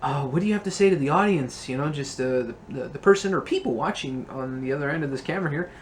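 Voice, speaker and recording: A man's voice: on the high side, fast, slight background noise. A man hosting a casual movie review, talking as an enthusiastic fan in a room full of memorabilia.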